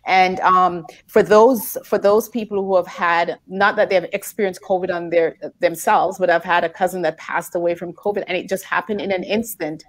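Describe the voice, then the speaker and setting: A woman's voice: lowish voice, fairly even intonation, moderate pace, few pauses, dry recording. Earnest woman panel guest, dry sound. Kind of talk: casual conversational panel talk.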